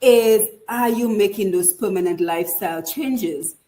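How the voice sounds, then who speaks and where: A woman author speaking as a guest in an online interview over a video call, earnest and expressive. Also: medium pitch, a little slow. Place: home in Georgia.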